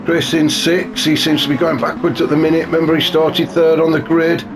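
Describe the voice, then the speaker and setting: A man commentating a sim race in a chatty, wry way, his voice a bit gravelly and snuffly from a cold. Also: fairly high pitch, steady tone, fairly quick. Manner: chatty and wry, many fillers. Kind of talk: live sim racing commentary. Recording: noisy with car engine sounds behind.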